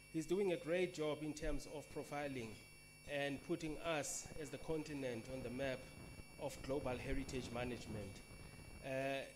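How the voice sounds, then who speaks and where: A man's voice: mid-range voice, a little hiss or hum, low-quality recording. A man serving as master of ceremonies at a formal event, introducing speakers courteously with frequent fillers.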